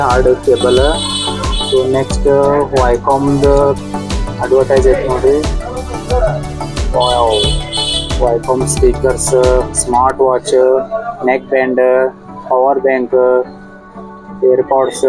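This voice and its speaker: A man with a North Karnataka accent giving a casual product unboxing and review, in a repetitive way with short exclamations. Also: mid-range voice. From Karnataka, India.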